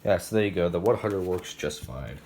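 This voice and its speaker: A man with a deep voice talks through a casual, unscripted demonstration. He rambles and thinks aloud as he handles his gear. The room is echoey, and loud noise runs behind his voice. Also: expressive, fairly quick. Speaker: hobbyist showing a weather radio collection. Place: indoor room, recorded while handling radios.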